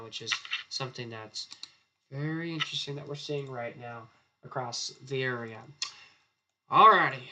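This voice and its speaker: A man's voice: fairly high pitch, pushed and bright. A man, a chief forecaster talking loosely through a live weather stream, his throat sore and his voice starting to go. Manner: loose, rambling, repeats "again" often. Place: weather center studio.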